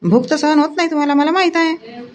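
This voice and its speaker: A woman voicing a sharp-tongued middle-aged village wife in a cartoon comedy, speaking colloquial rural Vidarbha Marathi. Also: high-pitched, quick pace, natural voice. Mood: scolding. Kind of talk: homely cartoon comedy dialogue.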